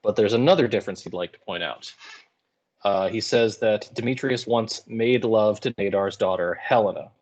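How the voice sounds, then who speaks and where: A man's voice: on the low side, moderate pace, a little mellow, lo-fi sound. A man teaching in a casual lecture style, with informal, wry and colloquial paraphrasing. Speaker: high school English teacher.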